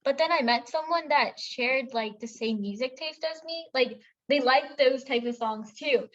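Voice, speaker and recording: Young woman co-host from India, bright higher voice, rambling casual storyteller, lo-fi recording. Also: unhurried. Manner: chatty storytelling with many fillers and self-corrections. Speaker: podcast co-host. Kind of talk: casual chat.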